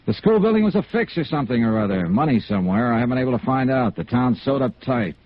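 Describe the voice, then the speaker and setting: An actor playing a tough, wry insurance investigator in a 1950s radio noir drama, with a clipped, hard-boiled delivery. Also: male voice, medium pitch, lively intonation, fast talker, lightly acted. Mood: frustrated, grim. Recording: muffled old radio recording, faint noise.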